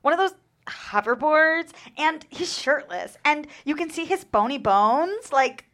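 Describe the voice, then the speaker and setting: Comedy read: woman as excitable teen gossip, higher voice, very animated, slangy. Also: unhurried. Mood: gushing. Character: excitable, gossipy high-school teenage friend. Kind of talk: comedy script table read.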